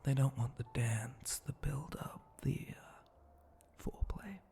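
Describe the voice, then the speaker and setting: A man voicing a flirty, teasing spider-monster bartender in an intimate ASMR roleplay, breathy and often close to a whisper, with a languid, suggestive way of talking. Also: medium pitch, warm tone. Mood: languid, musing. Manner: slow, languid, suggestive drawl with pauses and hums.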